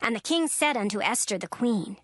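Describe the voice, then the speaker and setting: A woman narrating scripture in a steady, formal reading with careful pacing. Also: fairly high pitch, a little fast.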